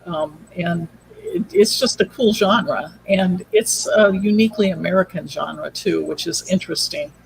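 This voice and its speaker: A man's voice: high-pitched, measured pace, gravelly, slight background noise, poor audio. A man speaking as an interview guest, explaining things in a casual, rambling way. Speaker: podcast guest, audio drama creator.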